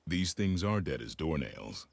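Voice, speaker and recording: Deep-voiced deadpan creature sidekick. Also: male voice, measured pace, gravelly, dry recording, heavily acted. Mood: dry skeptical.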